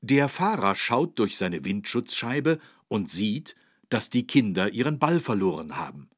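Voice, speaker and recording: A man playing a patient, friendly instructor, narrating for children in an encouraging, didactic way with a husky voice. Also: lowish voice, big pitch swings, a little slow, dry recording, studio quality, full character voice. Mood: instructive. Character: friendly, patient adult man teaching children road safety. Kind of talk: scripted children's educational narration.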